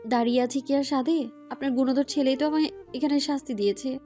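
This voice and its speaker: A woman plays a young female university student with a high voice. She is feisty and hot-tempered, and she grumbles with theatrical indignation. It is a dramatic audio story performance. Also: steady tone, fast, warm tone, soft background music, lightly acted. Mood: sulky. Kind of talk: Bengali audio story acting.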